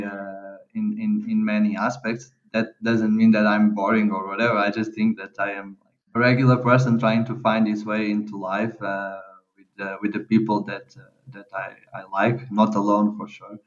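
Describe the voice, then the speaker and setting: A man's voice: lowish voice, steady tone, normal speed. Hesitant Portuguese-accented young man. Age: young adult. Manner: hesitant, repeats words, laughs often. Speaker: guest. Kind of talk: casual interview talk. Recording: muffled lo-fi audio.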